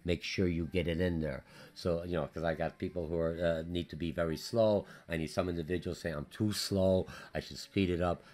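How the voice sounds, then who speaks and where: Low-voiced male business teacher with a Polish accent, rushing through a recorded course update. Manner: hurried, energetic, repetitive with many fillers. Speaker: college business instructor.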